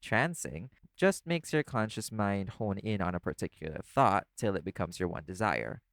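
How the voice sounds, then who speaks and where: A man playing a laid-back, caring vampire in an audio roleplay, talking the listener toward sleep in a casual, gently instructive way. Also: fairly low pitch, expressive, normal speed, vocal fry, dry recording, clean studio sound. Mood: wry, explaining. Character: laid-back male vampire companion, teasing yet caring.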